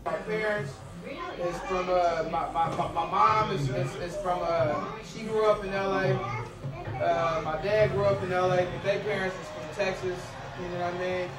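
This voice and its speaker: A man's voice: sits high, steady tone, normal speed, a loud crowd. An American man from the Bay Area talking casually and slangily, often repeating words as he starts a thought. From Bay Area, California. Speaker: documentary interviewee. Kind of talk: casual street-level interview talk. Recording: echoey, noisy location recording.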